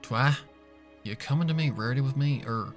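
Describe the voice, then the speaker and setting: A man's voice: mid-range voice, brisk, soft background music, lightly acted. A man voicing a plain-spoken, folksy female farm pony with a grumbling manner. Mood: casual.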